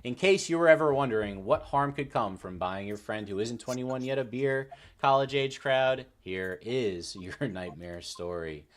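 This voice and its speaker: A man with a medium-pitched voice speaks as a sports show panelist. He delivers commentary on games and players in the manner of a sports talk program. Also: moderate pace, few pauses.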